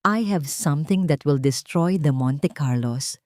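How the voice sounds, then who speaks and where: A woman's voice: deep voice, a little slow, no room sound, clean studio sound, voice pushed down. Woman voicing a coldly resolute wronged heroine. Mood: cold determination. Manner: calm, cold, steely.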